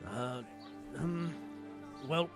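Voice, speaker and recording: A man's voice: a little high, drawn-out words, over music. An actor playing a cowardly, hesitant henchman in a video game, stammering and pleading. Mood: flustered.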